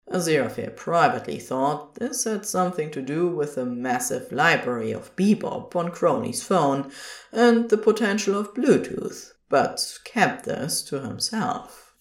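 Casting: female narrator for fiction, slow delivery with lively, varied intonation. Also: deep voice.

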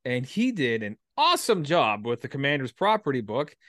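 A man's voice: medium pitch, huge pitch swings, a bit bright, no room sound. Man in his thirties, dry close sound. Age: 30s. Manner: halting, reflective, salty language. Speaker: writer and performer, military veteran. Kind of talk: casual creative discussion.

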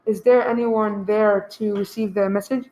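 A woman playing a courteous, formal telephone clerk in a radio play, speaking in a measured, official way. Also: medium pitch, steady tone, background noise, close to an everyday voice. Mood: businesslike.

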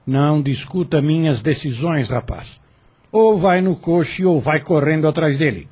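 An actor playing a stern, authoritative landowner in a radio drama, curt and commanding. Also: male voice, a little high, a little slow, a little mellow, husky.

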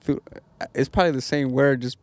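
A man's voice: medium pitch, a little fast, dry recording. A young man chatting casually as a podcast guest, laid-back and agreeable.

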